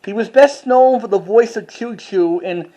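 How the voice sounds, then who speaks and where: Halting collector doing a casual show-and-tell. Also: medium pitch, warm tone, poor audio. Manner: halting, with repeats and self-corrections.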